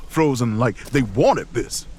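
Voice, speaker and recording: A man's voice: mid-range voice, expressive, slow pace, a little mellow, slight background noise. A man acting in an audio drama as a weary, protective, middle-aged traveler, speaking in a grave, folksy storytelling way. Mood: haunted, grave. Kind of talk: audio drama acting and first-person narration.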